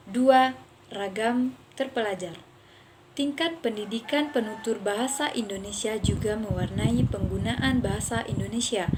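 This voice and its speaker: A woman's voice: mid-range voice, noisy background. A young woman student reading a class presentation, carefully spelling out letters and example words. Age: young adult.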